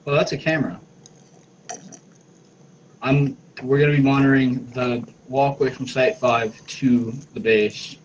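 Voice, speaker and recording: A man with a medium-pitched voice and faint noise behind him. He chats casually as one of the players in a tabletop roleplaying game. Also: fairly even intonation, unhurried, pauses often, muffled recording.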